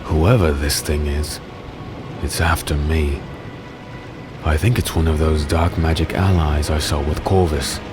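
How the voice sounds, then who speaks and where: A man playing a grave, protective swordsman husband in a dark fantasy audio drama, speaking earnestly with dramatic pauses. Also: a bass voice, background noise. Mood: urgent.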